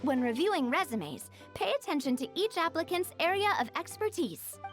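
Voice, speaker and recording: A young noblewoman from a fantasy game, cheerful and poised. Her voice is high and swings melodically as she explains in polished, articulate sentences. Music plays behind her. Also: big pitch swings, moderate pace. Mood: businesslike, upbeat. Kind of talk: video game story dialogue acting. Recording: studio voice over game music.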